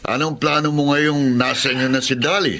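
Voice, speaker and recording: An actor playing a jovial, casual drinking buddy in a Filipino radio drama, with a Filipino accent. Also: male voice, fairly high pitch, fairly even intonation, normal speed, sharp tone, a little hiss or hum. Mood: curious, conspiratorial. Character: jovial drinking buddy, adult man, egging friend on.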